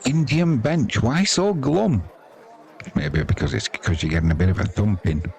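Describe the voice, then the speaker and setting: A man's voice: fairly low pitch, expressive, moderate pace, vocal fry, a little mellow. A man giving casual live cricket commentary in a wry, teasing, chatty manner. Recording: crowd noise behind the voice.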